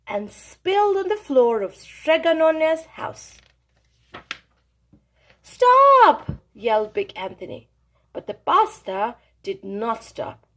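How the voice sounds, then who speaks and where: Slow, high-pitched woman reading a storybook. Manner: dramatic, emphatic phrasing. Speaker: children's storybook reader. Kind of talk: expressive read-aloud narration for children.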